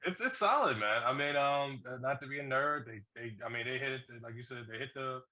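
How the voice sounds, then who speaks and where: A man co-hosting a casual movie review, talking in an animated, slangy way with plenty of swearing. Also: mid-range voice, fairly quick, a crowd in the background. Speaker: podcast co-host reviewing films.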